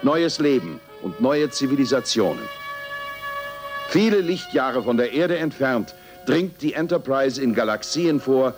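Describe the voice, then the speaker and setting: A man's voice: medium pitch, big pitch swings, measured pace. A man declaims a space-adventure opening like an announcer. His voice sits mid-range, and faint music plays behind him. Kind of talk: mock space-show opening narration.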